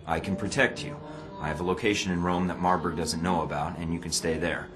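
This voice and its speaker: A man's voice: low voice, steady tone, brisk, lightly acted. An actor playing a terse, dry-witted, guarded male spy operative in a video game drama, with sardonic control. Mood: firm, persuasive. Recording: music playing behind the voice.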